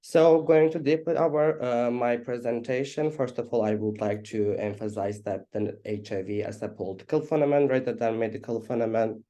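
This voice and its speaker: A man's voice: medium pitch, a little fast, few pauses, warm tone. A man from Azerbaijan giving a webinar presentation over a video call, speaking with many fillers and restarts. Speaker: HIV activist presenter.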